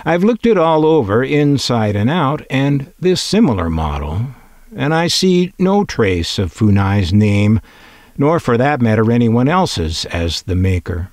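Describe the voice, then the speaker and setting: A man's voice: mid-range voice, very animated, measured pace, a little mellow, no room sound. A man narrating a scripted collector's video in a wry, opinionated way with dry humor.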